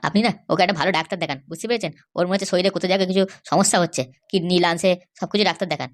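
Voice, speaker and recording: Woman doing a very fast-talking, opinionated cartoon helper. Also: lowish voice, lightly acted. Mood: skeptical. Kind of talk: amateur cartoon dialogue.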